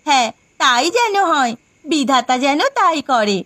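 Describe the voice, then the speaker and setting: A woman's voice: sits high, lively intonation, unhurried, bright, forceful tone, heavily acted. A woman playing a dutiful, caring young village daughter in a cartoon, earnest and resolute in a melodramatic style. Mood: indignant. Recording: music behind the voice.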